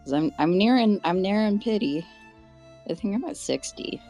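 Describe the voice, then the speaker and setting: A woman's voice: medium pitch, expressive, moderate pace, mellow, faint music behind. A woman chatting casually and playfully while gaming, with plenty of swearing. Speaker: gamer friend pulling alongside.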